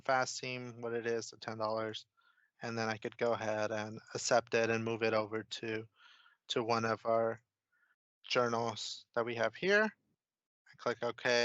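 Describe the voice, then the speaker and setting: Male software consultant, informal explainer, steady even intonation, dry close sound. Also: medium pitch, no echo, highs cut off. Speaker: software consultant, demo presenter. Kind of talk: casual screen-share software walkthrough.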